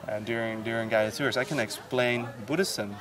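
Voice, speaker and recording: A man from the Netherlands, a native Dutch speaker, talking reflectively as a temple guide in a halting, repetitive way. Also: medium pitch, a crowd in the background. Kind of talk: reflective interview talk.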